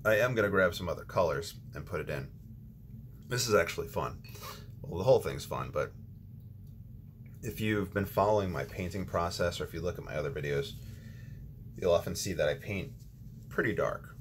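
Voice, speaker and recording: A man's voice: low voice, normal speed, long pauses, a little hiss or hum. A man hosting a watercolor painting tutorial, talking casually as he paints with frequent ums and restarts. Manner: relaxed, thinking aloud with ums and restarts.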